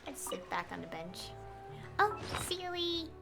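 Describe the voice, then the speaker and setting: Young woman streamer, high and lively, rambly casual commentary, game music behind. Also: unhurried, gravelly. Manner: rambling, full of fillers and soft laughs.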